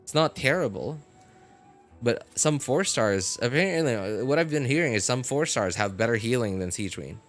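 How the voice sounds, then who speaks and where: A man talking casually on a game live stream, rambling with many fillers and getting animated about his opinions. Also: medium pitch, normal speed, soft background music. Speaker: gaming streamer.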